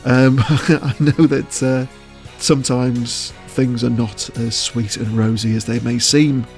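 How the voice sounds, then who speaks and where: A man's voice: mid-range voice, steady tone, takes it slowly, darkish tone, faint music behind. A man giving casual interview answers as a voice actor, choosing his words with hesitation and often chuckling.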